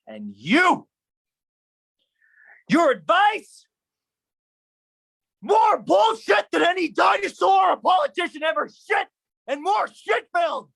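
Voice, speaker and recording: Slow, deliberate theatrical spoken-word performer. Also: extremely high pitch, expressive, creaky, fairly bright tone. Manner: dramatic, deliberate, heavily emphatic delivery. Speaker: monologue performer.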